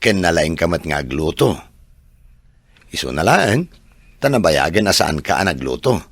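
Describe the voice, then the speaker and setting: A man acting an evasive, easygoing unfaithful husband in a radio drama, with a husky, drawling voice and an Ilocano accent. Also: low-pitched, very animated, normal speed, a bit bright, no echo, exaggerated voice. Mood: irritated, defensive.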